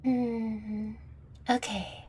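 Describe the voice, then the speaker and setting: Audio roleplay: affectionate young catgirl girlfriend played by a woman, coy and playful, slow delivery, soft husky breathiness. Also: fairly high pitch, level tone, full character voice. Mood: teasing, playful. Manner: teasing, coy. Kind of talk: audio roleplay spoken to the listener.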